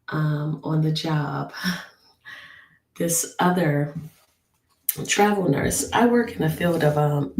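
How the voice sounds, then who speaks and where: Hoarse low-voiced woman telling a story. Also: darkish tone. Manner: rambling, with many ums and restarts. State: hoarse from a lingering flu cough. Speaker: travel nurse. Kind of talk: casual storytelling vlog. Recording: echoey room.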